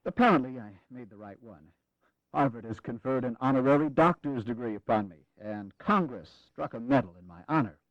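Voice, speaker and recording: An actor playing a grave, courteous American Revolutionary general in an audio drama, speaking in a measured, formal way. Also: male voice, medium pitch, expressive, dry recording, lightly acted. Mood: wry, grave. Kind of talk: dramatized history audio acting. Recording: dull, lo-fi 1980s audio drama.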